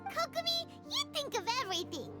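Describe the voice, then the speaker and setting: A tiny sprite girl speaks in an extremely high, squeaky voice that swoops up and down. She is excitable and quick to protest, in anime game style, with loud music behind her. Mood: excited. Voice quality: squeaky, childlike. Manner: exclamatory, bubbly, emphatic. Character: tiny childlike floating girl companion, excitable and indignant.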